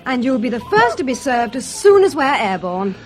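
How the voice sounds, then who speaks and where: An actress playing a patient but faintly tart air stewardess, brisk and polite, in a radio drama. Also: female voice, sits high, sing-song, soft background music. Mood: strained politeness.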